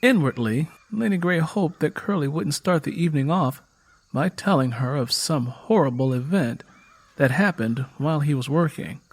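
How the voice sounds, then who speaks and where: A man's voice: fairly high pitch, moderate pace. A man reading scripted story narration as the narrator of an audiobook.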